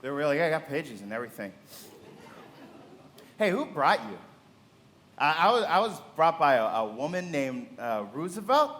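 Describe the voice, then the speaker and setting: Stout, middle-aged male drunk for radio play; rambling, halting, amazed, very varied intonation. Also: on the high side, big pitch swings, fairly bright tone. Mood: tipsy wonder. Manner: halting, tipsy, repetitive. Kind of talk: live radio play acting.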